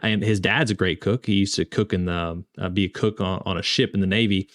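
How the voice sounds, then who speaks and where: A man's voice: sits low, steady tone, fairly quick, fairly bright tone, dry recording, clean studio sound. A man hosting an interview podcast, moving between conversational talk and sponsor reads. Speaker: podcast host interviewing a business owner.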